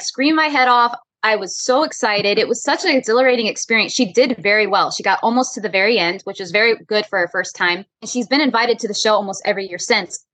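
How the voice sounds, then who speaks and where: An upbeat, friendly woman chatting as a podcast guest, her voice sitting fairly high. She laughs easily, and the sound is close and dry with no room around it. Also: pushed and bright, no room sound, poor audio. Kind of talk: casual interview chat.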